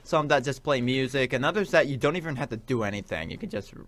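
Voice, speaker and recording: A man's voice: medium pitch, animated, quick pace, fairly bright tone. A young adult man chatting casually about video games as a talk show co-host, in an eager, rambling, informal way. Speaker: college radio show co-host.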